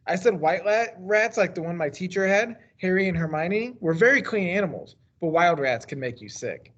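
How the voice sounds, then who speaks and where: An American man reads a children's story aloud in a slightly high-pitched voice. He leans into the start of each phrase and lets the endings drop. The recording is a little dull and lo-fi. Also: lively intonation, normal speed, a bit bright. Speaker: teacher reading a book aloud. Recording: dull, lo-fi sound.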